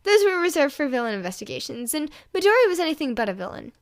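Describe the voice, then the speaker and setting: High-voiced woman narrating a story. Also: very animated, quick pace. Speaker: fan fiction reader and podfic host.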